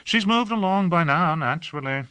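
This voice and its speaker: A man's voice: a little high, big pitch swings, normal speed, pushed and bright, no room sound, pitched above the performer's own voice. A man voicing an airy, languid male citizen who gossips in an affected, lilting drawl.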